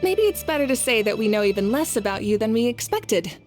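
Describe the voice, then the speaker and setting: A woman voicing a courteous young leader and fire deity in a video game, speaking formally and sincerely in measured phrases. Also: on the high side, sing-song, a little mellow, soft background music. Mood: polite, explanatory.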